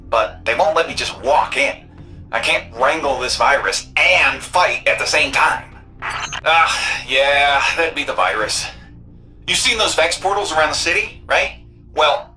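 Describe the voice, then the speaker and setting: A young tech-whiz sidekick for a video game, exuberant and slangy. The voice is bright and very lively, playful and cheeky, with faint music behind it. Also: mid-range voice, low-quality recording. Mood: upbeat. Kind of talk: video game character dialogue.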